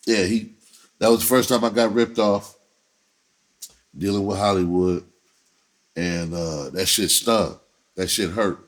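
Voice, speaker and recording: Deep, husky-voiced man telling stories casually. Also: steady tone, unhurried, long pauses. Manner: profane, streetwise slang, conversational. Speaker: entertainer telling personal stories on own channel. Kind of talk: casual storytelling monologue.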